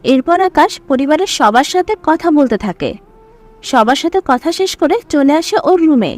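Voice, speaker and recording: A woman's voice: high voice, sing-song, moderate pace. A woman narrating a scripted audio story as its storyteller.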